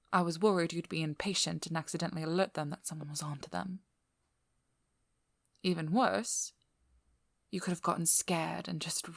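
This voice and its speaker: Casting: actress for a cunning female vampire hunter in intimate ASMR audio drama; lowish, breathy, playfully domineering, very dry recording. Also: dry recording. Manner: teasing, playful, coolly controlling. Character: devious, vigilant female vampire hunter, flirty then businesslike. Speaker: audio roleplay performer. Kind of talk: scripted ASMR roleplay, addressing the listener.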